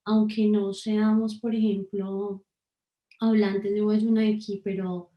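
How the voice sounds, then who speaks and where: A woman's voice: mid-range voice, level tone, slow pace, echoey room, highs cut off. A woman researcher leading an online workshop, speaking hesitantly with many fillers over a video call. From Colombia.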